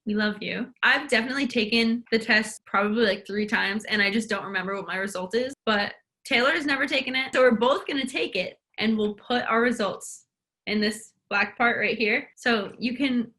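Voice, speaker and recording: A woman's voice: on the high side, moderate pace, pushed and bright. A woman in her early twenties co-hosting a casual Q&A video, giggly and full of "like". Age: early 20s. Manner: giggly, heavy use of "like". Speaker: YouTube co-host. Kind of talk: casual Q&A chat.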